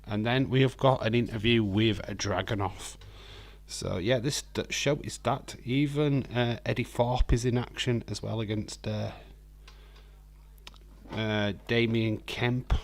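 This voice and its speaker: A man with a slightly croaky voice hosting a live stream, chatting in a rambling, friendly way with lots of hesitant fillers. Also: mid-range voice, fairly even intonation, a little slow. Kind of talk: casual live watch-along chat, reading viewer comments.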